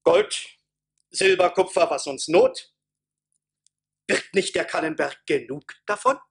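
Blustering marshal, radio drama, forceful, husky, swinging pitch. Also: male voice, sing-song, slow, full character voice. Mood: scheming, insistent. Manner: forceful, theatrical, threatening. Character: blustering, hot-tempered warmongering imperial marshal. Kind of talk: radio play acting.

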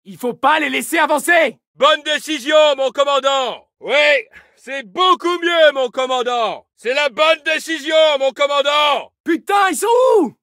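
An actor playing a fierce French soldier, barking urgent, commanding battle lines for a video game. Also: male voice, very high voice, pushed and bright, no echo, clean studio sound, full character voice.

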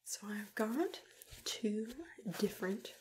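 Young woman, whispered ASMR, soft-spoken dentist roleplay, reassuring, unhurried, close mic, noticeable ambient noise. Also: mid-range voice, animated. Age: young adult. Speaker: ASMR creator playing a dentist. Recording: clear background noise and room ambience.

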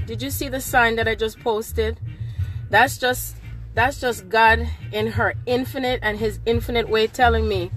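A woman's voice: fairly high pitch, fairly bright tone. A middle-aged woman talking on an impromptu live video from inside a car at night. She is a little nasal from a cold and talks fervently, repeating affirmations. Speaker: spiritual livestreamer. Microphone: phone held at arm's length.

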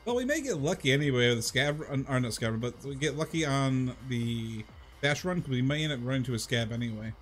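A man's voice: mid-range voice, lively intonation, a little fast. Casual man streaming over music. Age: 30s. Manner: rambling, repeats himself, informal. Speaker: video game livestream host. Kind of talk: casual gameplay commentary, chatting with viewers.

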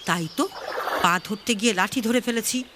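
A woman's voice: mid-range voice, normal speed, noisy background, lightly acted. Woman putting on a gullible, blustering crocodile. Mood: foolish pondering. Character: gullible, angry crocodile parent, easily fooled. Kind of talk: children's storybook reading.

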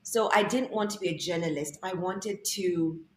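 A young woman from Kenya telling the story of her work as a guest in an interview, reflective and animated when she quotes herself, heard over a video call. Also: on the low side, moderate pace. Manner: reflective storytelling, quoting herself in lively voices. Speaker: guest artist. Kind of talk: conversational interview. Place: bright indoor room, video call. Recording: roomy video-call audio, faint room noise.

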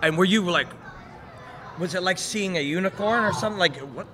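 A man's voice: sits high, fairly quick, a crowd in the background. A middle-aged man hosting a casual interview, engaged and often summing up what his guest says. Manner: engaged, frequent backchannels, sums up guest's points. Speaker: podcast host. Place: hotel room, indoors. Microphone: desk mic on stand.

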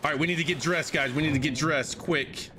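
A man's voice: a little high, a little fast, noisy background. A man in his thirties live-streaming a game on a headset mic, chatting casually, repeating his phrases and swearing. He says he is losing his voice and keeps coughing. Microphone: headset microphone.

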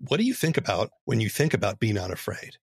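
A man's voice: on the low side, big pitch swings, fast, vocal fry, slightly rough, no echo. A man hosting a casual interview in a chatty, amused way. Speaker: podcast host, interviewer.

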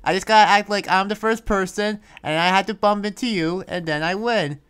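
A man's voice: high voice, brisk, a bit bright, clear, resonant tone. A man joking casually while gaming, in a playful, rambling way.

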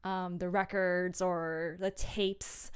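A woman's voice: fairly low pitch, level tone, slow, clear, resonant tone. A young adult woman chatting casually and a bit ramblingly as she hosts a livestream from a room at home. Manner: chatty, rambling, giggly, apologetic. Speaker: livestream host, audio drama creator. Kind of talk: casual livestream chat with viewers. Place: small room indoors at home.

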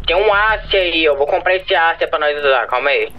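A man's voice: a little high, animated, normal speed, slight background noise. A man with a Brazilian accent talking casually with other players in an online roleplay game. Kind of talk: casual gaming voice chat. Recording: muffled game voice chat, faint music.

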